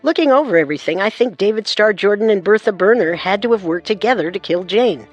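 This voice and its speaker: A man narrating a true-crime radio story in an earnest, assertive way over soft music. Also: sits high, expressive, quick pace, muffled recording. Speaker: narrator and co-host.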